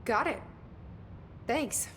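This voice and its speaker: A woman's voice: a little high, expressive, very slow, fairly bright tone, a little hiss or hum, full character voice. An actress playing a guarded, searching young woman with an American accent, in an understated, wry, terse way. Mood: guarded, curious. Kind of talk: naturalistic game acting, introspective monologue and dialogue.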